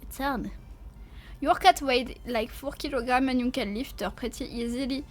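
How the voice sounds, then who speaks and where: A French woman with a French accent chatting casually on a livestream, halting and repeating herself as she playfully protests to viewers. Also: sits high. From France. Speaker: livestreamer, VTuber.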